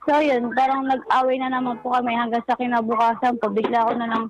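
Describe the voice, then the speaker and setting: A woman's voice: fairly high pitch, little pitch movement, brisk, low-quality recording. Filipino woman, radio caller, courteous and deferential, telling personal story, background music. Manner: deferential, polite. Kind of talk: recounting personal troubles.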